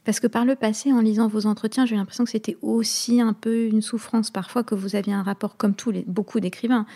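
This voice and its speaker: Mellow-voiced woman interviewer, measured. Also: medium pitch, fairly even intonation. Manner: measured, attentive, lays out questions at length. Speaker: interviewer, host. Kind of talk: long-form interview questions.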